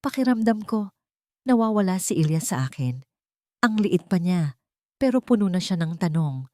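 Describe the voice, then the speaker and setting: A woman's voice: on the low side, expressive, normal speed, warm tone, no room sound, close to an everyday voice. A woman plays a poor, loving father in a narrated drama, anguished about his child. The delivery is emotional and pleading, recorded dry and close. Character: troubled, tender poor father afraid of losing his child.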